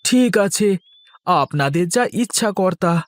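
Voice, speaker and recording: Man acting slow, theatrical cartoon village fellow. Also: high-pitched, lively intonation. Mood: eager, deferential.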